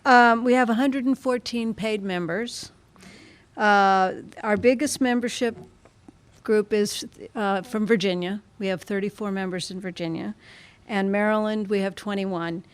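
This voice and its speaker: A woman's voice: medium pitch, clear, resonant tone. Tentative woman giving an informal report. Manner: tentative, halting. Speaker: club member giving a report. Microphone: meeting microphone.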